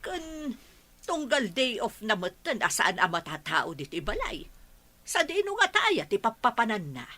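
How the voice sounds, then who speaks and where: Nosy Filipino mother, bright, animated voice. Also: fairly high pitch, normal speed, heavily acted. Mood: suspicious, calculating. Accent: Filipino, Ilocano. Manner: prying, emphatic. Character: nosy, suspicious village mother, scheming and nagging. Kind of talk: radio drama acting.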